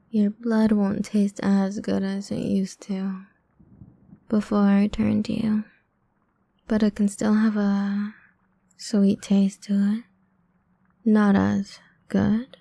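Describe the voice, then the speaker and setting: Woman acting as ancient vampire queen, ASMR roleplay, husky whispering, very slow, very dark soft tone, possessive, taunting, seductive. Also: medium pitch, flat delivery, heavily acted. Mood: seductive, commanding. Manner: slow, teasing, commanding.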